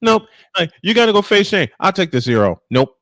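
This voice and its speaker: Man for live baseball call with loose banter; voice a touch high, very dry acoustic. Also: very animated, a little fast, fairly bright tone, no echo. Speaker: play-by-play sportscaster.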